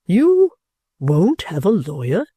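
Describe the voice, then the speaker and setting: Reader playing an earnest, mellow gentleman guardian. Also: mid-range voice, huge pitch swings, slow pace, husky, no room sound, heavily acted. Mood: insistent. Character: kindly, vehement gentleman guardian, earnest and exasperated.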